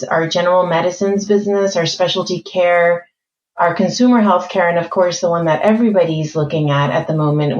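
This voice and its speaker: Woman w/ a blended euro-american accent, calm and articulate, thinks out loud, muffled echoey remote audio. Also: fairly low pitch. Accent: mixed French, Spanish, American and British. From born in France, raised mainly in Spain. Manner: measured, articulate, thinks aloud with fillers. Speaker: corporate learning executive, guest. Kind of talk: podcast interview.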